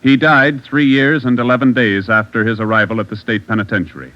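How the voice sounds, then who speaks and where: Male announcer, formal and measured; vintage muffled broadcast with music bed. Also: medium pitch, fairly even intonation. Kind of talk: scripted radio announcement. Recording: dull old radio broadcast.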